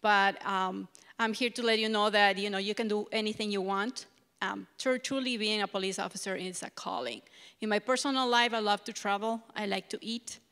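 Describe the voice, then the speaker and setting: Clear-voiced Ecuadorian-accented woman on stage. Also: mid-range voice, fairly even intonation, moderate pace, a bit bright. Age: middle-aged. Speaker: police sergeant, panel speaker. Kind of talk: personal career presentation to an audience. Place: stage of an auditorium. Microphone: handheld microphone. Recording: reverberant hall sound.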